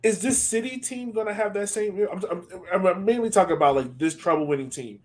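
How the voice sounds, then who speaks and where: A man's voice: high-pitched, a little fast. A man hosting a casual sports podcast, speaking in an informal, conversational way. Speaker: podcast host.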